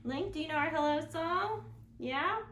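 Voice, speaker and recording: A woman's voice: sits high, normal speed, fairly bright tone. A woman hosting an interactive storytime for small children, with a playful, sing-song manner and little questions to her young listeners. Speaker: children's library storytime host. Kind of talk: interactive storytime for small children, songs and picture-book reading. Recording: echoey room, clear background noise.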